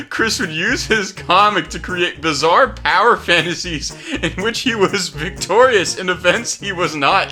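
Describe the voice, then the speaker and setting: A man's voice: sits high, pushed and bright. A young adult man doing casual host commentary and reading a summary aloud, sweary and amused. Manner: sweary, amused, often breaking into laughter. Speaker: video host.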